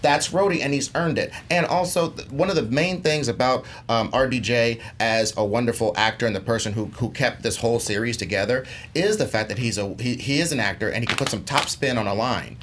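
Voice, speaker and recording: A man's voice: mid-range voice, fairly quick, few pauses, fairly bright tone, a little hiss or hum. A man arguing his case as a contestant on a film debate show, emphatic, repeating phrases and stumbling into restarts. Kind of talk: animated persuasive argument about films.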